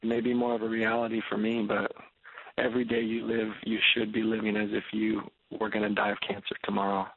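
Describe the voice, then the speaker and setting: A man's voice: on the low side, monotone, fast, husky. A man in his thirties talking earnestly as a radio guest, preaching with many stammered restarts. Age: 30s. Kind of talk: phone-in radio interview. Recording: tinny phone line.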